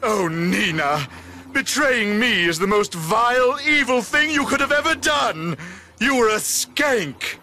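Cartoon game villain: pompous mad scientist, bright forceful high voice, petulant and theatrical. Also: male voice, animated, a little slow, a little hiss or hum, heavily acted. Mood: gleefully proud. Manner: melodramatic, over-enunciated ranting. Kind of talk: cartoon video game acting.

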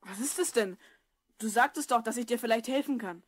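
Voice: mid-range voice, a little fast, no echo, lightly acted. A performer playing an eager, curious boy of twelve in an audio drama. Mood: puzzled. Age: child character, twelve. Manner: eager, questioning. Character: Mark, curious, helpful twelve-year-old boy. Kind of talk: German audio drama acting.

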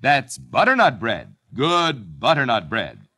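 A male announcer reading a 1950s radio commercial in a smooth selling pitch. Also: huge pitch swings, slow. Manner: warm selling pitch, very sing-song.

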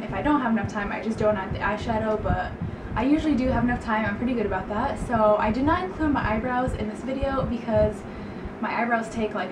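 A woman's voice: a little high, background noise. A young woman with a stuffy cold, chatting upbeat through a makeup tutorial in her small bedroom and naming each product as she goes. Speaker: beauty vlogger.

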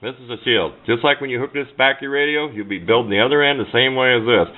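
A man's voice: mid-range voice, fast talker. A folksy, plain-spoken man giving a casual hands-on tutorial at a workbench, picked up by a camera microphone. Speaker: DIY radio hobbyist demonstrating. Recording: muffled phone-like audio, background noise.

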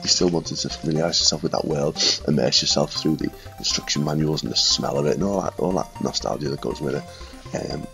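Very deep, gravelly northwest English man. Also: fairly quick, faint music behind. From Liverpool and Widnes, England. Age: 30s. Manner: quiet, subdued late-night talking. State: congested with a cold. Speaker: retro gaming video maker. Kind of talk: rambling casual reminiscence to camera. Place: small furnished room at home, indoors.

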